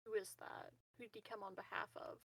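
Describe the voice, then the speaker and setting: A woman's voice: medium pitch, little pitch movement, fairly quick, a bit bright, breathy edge, dry recording. A woman chatting casually as a player in a tabletop game. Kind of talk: casual chat.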